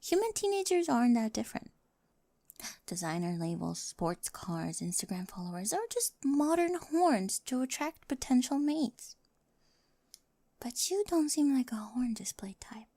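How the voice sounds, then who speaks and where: An awkward, lovestruck high school oddball acted by a woman for an ASMR roleplay. The voice sits fairly high with a crackly fry. She rambles eagerly and slips into whispery asides, very close and dry on the mic. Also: big pitch swings, measured pace, dark tone, no room sound, exaggerated voice. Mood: nerdy, confiding. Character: quirky, nerdy, awkward high school girl with a crush. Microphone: close microphone, dry.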